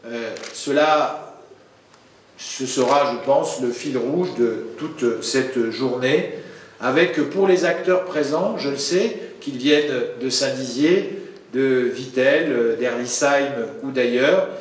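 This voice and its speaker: Male official, deliberate public welcome speech, very reverberant hall, distant pickup. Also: mid-range voice. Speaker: official giving an opening address. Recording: echoey hall, distant mic, faint noise.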